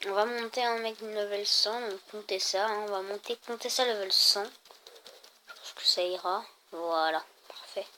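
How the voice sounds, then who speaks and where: A woman's voice: mid-range voice, fairly even intonation, measured pace, sharp tone, a little hiss or hum. A woman with a cold, chatting casually and rambling a little as she plays and explains mobile games. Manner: chatty, rambling, lots of fillers. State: has a cold. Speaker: gaming YouTuber. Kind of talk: casual gameplay commentary.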